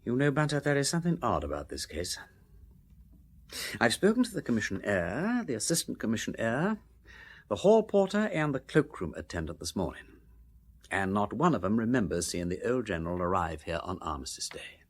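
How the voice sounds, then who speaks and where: An actor playing a jaunty, inquisitive aristocratic sleuth in a radio drama, with an upper-class English accent and breezy old-fashioned slang. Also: male voice, lowish voice, very animated, brisk. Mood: pondering, brisk.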